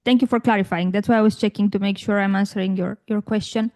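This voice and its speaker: A woman's voice: mid-range voice, fairly even intonation, quick pace, a little mellow. A woman from Romania in her thirties, a guest on an interview podcast, answering thoughtfully with frequent restarts and fillers. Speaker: guest, tech career coach.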